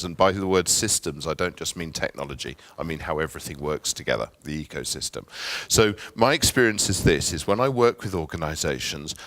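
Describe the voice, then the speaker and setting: A man's voice: deep voice, a bit bright, no room sound. A middle-aged man with an English accent gives a conference workshop talk in a friendly, chatty way, with asides and filler ums. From England. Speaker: workshop presenter.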